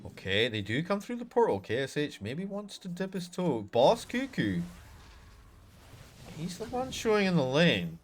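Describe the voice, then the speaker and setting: A Scottish man casting a live video game match on his own, talking to chat between fights and getting loud and excited in the action. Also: on the high side, moderate pace. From Scotland. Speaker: esports caster. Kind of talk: solo live game commentary with chat asides.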